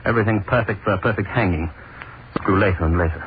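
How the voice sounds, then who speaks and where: A man's voice: on the low side, fast, narrow, tinny audio, lightly acted. An actor playing a bloodthirsty, haunted hangman who tells his own tale in intense, melodramatic 1930s radio horror style. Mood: grim relish. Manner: intense, melodramatic, repeating phrases obsessively. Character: guilt-maddened hangman, bloodthirsty and hunted, confessing his killings. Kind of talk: 1930s radio horror acting, first-person narration. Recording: scratchy, noisy 1930s radio broadcast.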